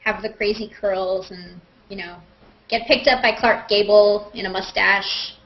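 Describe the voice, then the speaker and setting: A woman's voice: mid-range voice, steady tone, unhurried. A woman chatting casually and jokingly in slangy speech on a live group video call. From Canada. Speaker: host. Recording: dull, lo-fi video-call audio.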